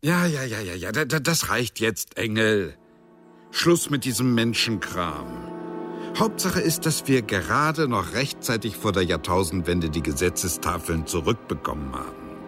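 Pompous heavenly elder, low, wildly swooping. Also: male voice, expressive, measured pace, music in the background. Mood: impatient. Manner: pompous, impatient, declamatory. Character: senior heavenly superior, male, weary, bitter, dismissive. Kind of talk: radio drama acting.

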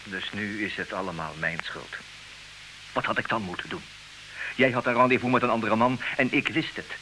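An actor playing an intelligent, possessive, ironic husband in an old radio play, speaking earnestly in theatrical diction. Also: male voice, fairly low pitch, steady tone, normal speed, pushed and bright, poor audio. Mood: indignant. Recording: hissy old radio recording, clear noise.

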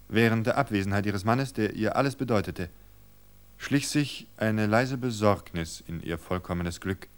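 Man, low voice, calm measured storytelling, radio reading. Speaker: reader.

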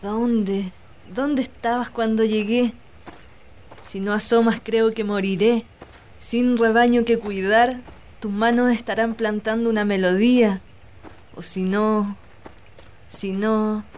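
A young woman playing a gentle, wistful daughter of a country estate in a radio play. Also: a little high, steady tone, takes it slowly, long pauses, a little hiss or hum. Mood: wistful longing.

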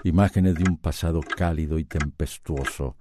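A man's voice: rumbling low, fairly even intonation, normal speed, background noise. A man narrating a radio serial in a dramatic scripted style. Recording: music under the voice. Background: music.

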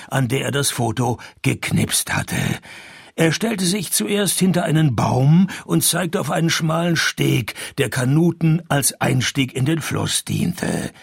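A sardonic male private detective in a radio play, with a very husky, creaky voice. He is laconic and unimpressed, yet his pitch moves a lot. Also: no room sound, studio quality, heavily acted. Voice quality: husky, gravelly. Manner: deadpan, laconic. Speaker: narrator and lead. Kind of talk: radio play noir narration and dialogue.

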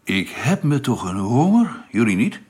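Actor as wry space crewman, very animated pitch. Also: moderate pace, close to an everyday voice. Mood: wry, dismissive. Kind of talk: radio play acting.

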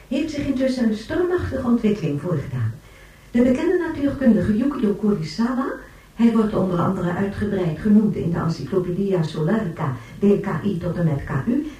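A woman's voice: a little high, mellow. A woman playing a composed space-colony newsreader in a radio play, delivering a formal news bulletin. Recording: very echoey, distant broadcast sound.

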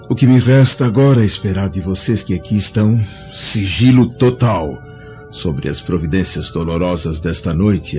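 Solemn old roman senator, grieving, very low voice, radio drama, muffled with loud music. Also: male voice. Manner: formal, solemn, declamatory. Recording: dull lo-fi audio, loud music behind.

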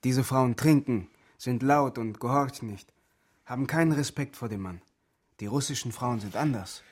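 A man's voice: mid-range voice, normal speed, slightly rough, close to an everyday voice. An actor playing a devout, earnest refugee man from Egypt in a radio drama, speaking German as an in solemn, simple sentences. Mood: solemn, stern.

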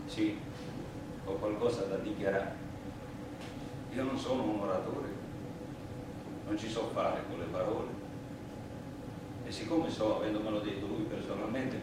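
An actor playing a plain-spoken, indignant immigrant worker on trial, in simple, emphatic theatrical oratory. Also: male voice, on the low side, close to an everyday voice. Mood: humble, grave. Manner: simple, emphatic, deliberate working-class oratory. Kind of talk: theatrical courtroom monologue. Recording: echoey, distant stage recording, clear noise.

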